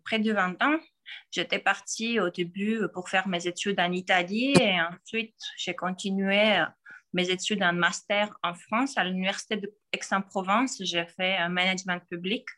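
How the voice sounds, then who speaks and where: Georgian-accented woman in her 40s, tense bright voice, lots of pauses and restarts. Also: mid-range voice. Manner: halting, frequent pauses and restarts. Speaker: podcast guest. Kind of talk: casual interview answers.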